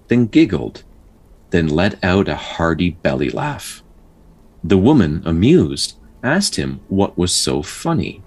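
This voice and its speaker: A man hosting a storytelling show, narrating folktales in a measured, dramatic way with casual asides and a husky voice. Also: low voice. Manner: measured, pausing delivery when telling the tale.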